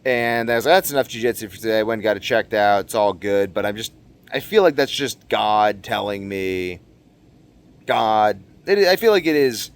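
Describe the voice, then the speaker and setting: A young man talking casually to camera in a rambling, slangy vlog monologue, animated and given to acting out what people said. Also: fairly low pitch, normal speed, clear, resonant tone. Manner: animated, exclamatory, slangy, acts out quotes. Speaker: vlogger.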